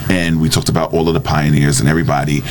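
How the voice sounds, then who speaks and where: A man's voice: very deep voice, little pitch movement, fast talker, slight background noise. A man hosting a casual radio interview, effusive and repeating words for emphasis. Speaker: radio show host.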